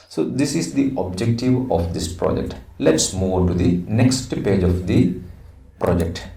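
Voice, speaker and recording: A man in his forties with an Indian accent, teaching and reading material aloud in deliberate short phrases, like a school teacher. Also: fairly low pitch, dark tone. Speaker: English teacher. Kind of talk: instructional lesson, reading slides aloud. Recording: roomy echo, distant microphone.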